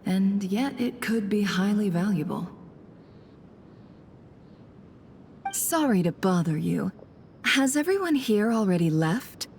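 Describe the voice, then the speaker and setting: A woman's voice: mid-range voice, lively intonation, unhurried, a little mellow, a little hiss or hum. A woman playing a shrewd, composed traveler in a video game, speaking politely and with calculation. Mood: curious, polite. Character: shrewd woman traveler posing as antiques smuggler. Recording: music under the voice.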